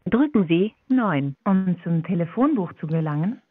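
A woman's recorded phone-menu announcement, formal and even. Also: fairly low pitch, normal speed, dry recording. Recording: tinny phone line.

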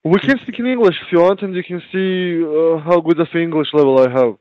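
A man's voice: a little high, a little fast, no room sound, highs cut off. A man working a call center line, flustered and apologetic, often asking the caller to repeat. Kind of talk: phone call.

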